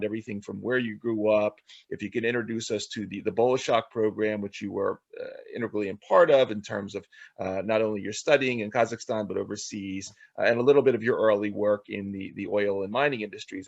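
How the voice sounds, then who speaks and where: Gravelly, low-voiced man hosting an interview. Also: no echo, muffled recording. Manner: halting, stumbles and repeats words. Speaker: show host, interviewer. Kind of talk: podcast interview introduction, reading a guest biography.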